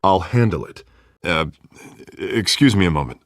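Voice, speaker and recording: An American man in his thirties playing an earnest, excitable district attorney and loyal friend in a video game, emphatic and colloquial. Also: sits low, moderate pace, no room sound, studio quality. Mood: reassuring.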